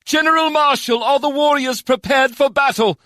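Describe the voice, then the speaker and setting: Audiobook heroine, played by a man: high-voiced woman bard leading warriors, earnest and declamatory, wistful but fervent. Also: measured pace, a bit bright, no echo, studio quality. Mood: rousing, commanding. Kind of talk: audiobook character voice.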